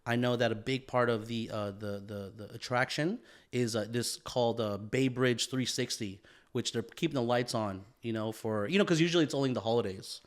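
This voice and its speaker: A man's voice: medium pitch, a little fast. A man co-hosting a podcast, chatting casually, swearing and calling people bro and dude. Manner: laid-back, calls people bro and dude, swears often. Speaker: podcast co-host. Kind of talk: casual chat.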